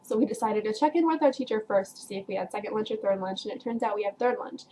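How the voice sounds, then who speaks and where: A woman's voice: mid-range voice, expressive, fast, mellow, a little hiss or hum. Chatty teenage girl rambling a story. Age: teens. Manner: chatty, rambling, heavy use of "like" and "um"; frequent laughs while telling. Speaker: vlogger. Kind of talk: casual storytelling to camera.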